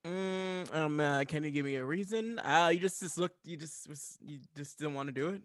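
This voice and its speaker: A man's voice: on the high side, normal speed, dry recording, studio quality, lightly acted. A man playing a puzzled, mildly offended bank customer in an improv comedy sketch, hesitant and questioning.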